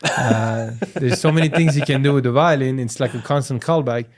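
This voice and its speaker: A man's voice: mid-range voice, brisk. Chatty French-accented man. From France. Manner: animated storyteller, acts out quotes. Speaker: comedian guest. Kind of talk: casual podcast chat.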